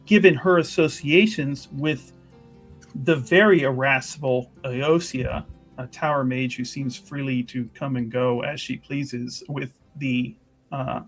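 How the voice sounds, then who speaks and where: A man playing an earnest, diplomatic chantry investigator in a tabletop fantasy game, speaking formally and haltingly as he picks his words with care. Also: fairly high pitch, fairly bright tone, full character voice. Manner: halting, formal, courteous, choosing words carefully. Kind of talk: improvised in-character tabletop roleplay.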